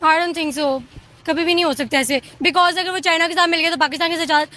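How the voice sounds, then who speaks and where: A woman's voice: very high-pitched, steady tone, brisk, bright, forceful tone, slight background noise. A woman with a Pakistani accent giving off-the-cuff opinions in an interview, mixing in English words.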